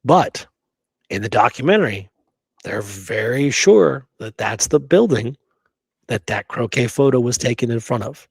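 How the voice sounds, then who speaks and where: A man's voice: medium pitch, animated, unhurried, gravelly, breathy edge, no room sound. A man co-hosting a casual history discussion, talking emphatically and often checking in with "right?". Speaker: podcast co-host.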